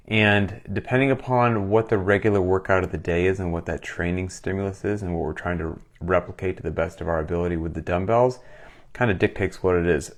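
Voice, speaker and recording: A man with a cold, hosting a casual live question-and-answer session as a fitness coach, talking with enthusiasm. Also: low-pitched. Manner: enthusiastic, conversational, reads questions aloud. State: getting over a cold, sucking a cough drop. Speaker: gym owner and coach hosting a Q&A. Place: recorded for a live stream.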